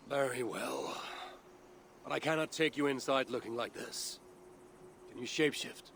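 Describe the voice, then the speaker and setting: An actor playing a self-assured, stern and wry Norse god with a gravelly voice, in a video game cutscene. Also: male voice, medium pitch, moderate pace, a bit bright, a little hiss or hum, lightly acted. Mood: wry, calm.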